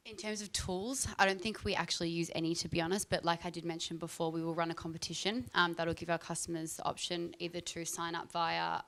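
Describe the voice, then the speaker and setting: Chatty woman panelist, bit low, lots of vocal fry, informal. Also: flat delivery, fairly quick, few pauses, a bit bright. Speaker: panelist, eCommerce brand marketer. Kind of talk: casual panel discussion. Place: live event in front of an audience.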